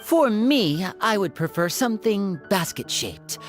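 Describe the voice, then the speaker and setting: A courteous young stage magician in a video game. The delivery is suave and playful, mid-pitched with lively swings. Soft music plays underneath. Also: female voice, measured pace. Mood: confident. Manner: polite, suave.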